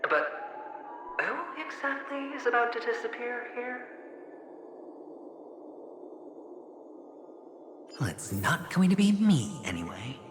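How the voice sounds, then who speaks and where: A man's voice: high-pitched, sing-song, normal speed, very echoey, big-room sound, music in the background. An actor playing a smug young male gambler in a video game cutscene, taunting in a mocking, teasing drawl. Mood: cold.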